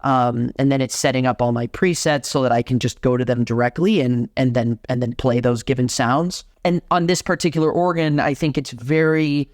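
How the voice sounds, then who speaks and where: A young adult man from Miami, Florida, a guest organist chatting in an interview, animated and full of restarts. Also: medium pitch, normal speed, hardly any pauses, dry recording, clean studio sound. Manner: animated, talks with many restarts. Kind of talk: casual interview conversation.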